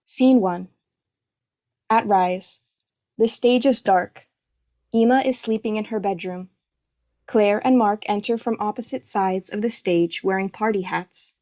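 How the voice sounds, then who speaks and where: A woman's voice: medium pitch, measured pace, dark tone. A woman giving scripted narration, reading the stage directions of a play.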